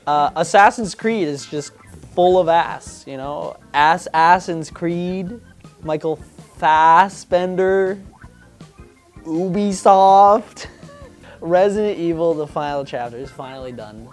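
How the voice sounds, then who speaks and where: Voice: mid-range voice, takes it slowly, faint music behind. A reviewer giving casual off-the-cuff verdicts in a joking, sweary, exasperated way.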